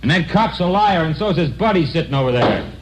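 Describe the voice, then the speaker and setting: Guy playing blunt hot-headed american trucker on the witness stand, pitch jumps around, old noisy radio drama. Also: lively intonation, fairly quick, natural voice. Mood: angry, insistent. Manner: curt, defiant, blunt. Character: surly, hot-headed male truck driver testifying defiantly. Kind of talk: 1940s radio acting. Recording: noisy vintage 1940s radio recording.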